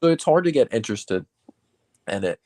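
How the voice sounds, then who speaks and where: A man's voice: medium pitch, big pitch swings, fairly quick, darkish tone, no echo, studio quality. A man of about twenty from Georgia in the US, chatting casually about sports, tentatively working through his thoughts with lots of "like.". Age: around 20.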